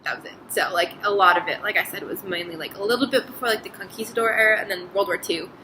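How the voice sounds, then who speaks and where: A woman's voice: mid-range voice, fast talker, background noise. A young woman from New Mexico answering an interview casually, saying "like" a lot. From New Mexico, USA. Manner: chatty, lots of "like".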